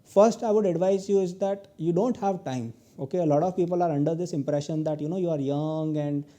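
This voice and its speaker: A young man in his twenties with an Indian English accent gives earnest advice in a recorded lecture. His pitch sits a little high for a man, but his tone is very dark and mellow. He keeps ending points with "okay?". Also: a little fast. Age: 20s. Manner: earnest advice, tags many lines with "okay?". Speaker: guest lecturer, entrepreneur.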